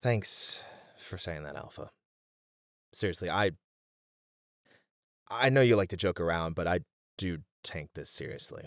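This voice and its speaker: A man's voice: deep voice, lively intonation, a little slow, vocal fry, long pauses, no echo, clean studio sound, full character voice. A man acting an uptight, caring band manager, earnest and mildly exasperated, in an ASMR roleplay. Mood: conceding, earnest.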